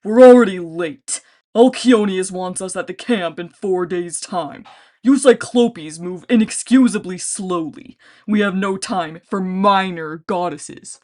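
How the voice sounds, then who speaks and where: A woman's voice: a little slow, a little mellow, close to an everyday voice. A woman voicing a huge, malevolent male giant warlord who roars commands. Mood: impatient. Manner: roaring, booming commands.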